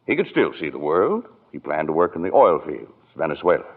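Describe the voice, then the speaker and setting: A narrow, tinny radio recording presents a kindly storyteller. His voice is deep and moves expressively as he narrates and explains in 1940s radio drama style. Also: very animated, brisk, exaggerated voice. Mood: kindly, informative. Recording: tinny vintage radio broadcast.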